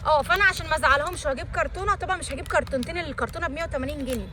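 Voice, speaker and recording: A woman's voice: high-pitched, rapid-fire, bright, forceful tone, a faint crowd, slight background noise. A woman with an Egyptian Arabic accent hosting a family unboxing vlog, chatting casually with children and coaxing them with questions.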